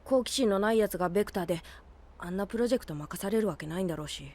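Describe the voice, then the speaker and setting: A woman voices a brash boy, worldly beyond his years. The pitch is medium for a female voice, and the delivery is rough, casual and cocky, in anime audio drama style. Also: rapid-fire, a little mellow, close to an everyday voice. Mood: wry. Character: cocky, blunt boy who is secretly much older.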